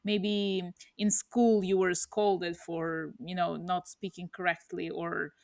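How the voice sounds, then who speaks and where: Podcast co-host, woman in her 30s, Russian native, thoughtful and halting, dead-dry mic. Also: lowish voice, level tone, vocal fry, dry recording. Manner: reflective, many fillers and restarts. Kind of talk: casual chat.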